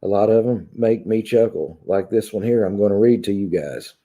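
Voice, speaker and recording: Dark-voiced man with a Kentucky drawl. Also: lowish voice, normal speed. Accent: Southern US drawl, Kentucky. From Kentucky, United States. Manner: drawling, conversational. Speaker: YouTube vlogger. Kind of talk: casual chat to viewers, venting. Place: small room at home, indoors.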